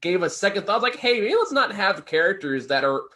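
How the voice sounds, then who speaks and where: A man's voice: high-pitched, sing-song, a little fast, bright, forceful tone. A man co-hosting a casual, unscripted review chat, talking in a wry and rambling way. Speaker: movie reviewer, co-host.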